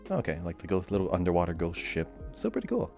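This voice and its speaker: A man's voice: deep voice, big pitch swings, fast talker, a little mellow, soft background music. A man co-hosting a gaming podcast, talking in a casual, conversational way. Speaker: podcast co-host.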